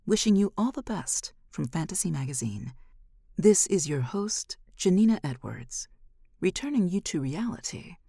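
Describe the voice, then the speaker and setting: Low, husky woman narrator, expressive. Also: dry recording, clean studio sound. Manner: dramatic, measured delivery. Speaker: podcast host and audiobook narrator. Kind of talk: expressive scripted story narration.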